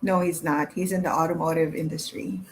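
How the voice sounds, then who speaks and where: A woman's voice: low-pitched, fairly even intonation, brisk, slight background noise, poor audio. A woman in her thirties from the Philippines, answering interview questions in a friendly, casual way with many fillers. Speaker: guest.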